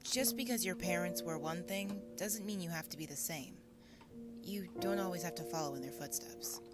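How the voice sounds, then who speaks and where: A woman's voice: on the low side, moderate pace, close to an everyday voice. A woman playing a tough, guarded young fairy guard in an audio drama, with a wry, offhand, brusque manner. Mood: reassuring. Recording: loud music over the voice. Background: loud musical score.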